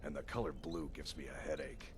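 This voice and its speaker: A surly werewolf killer from a fantasy video game. His voice sits very low and his delivery is blunt and dismissive. A steady noise bed runs under the game audio. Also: a little fast. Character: gruff hostile werewolf assassin man.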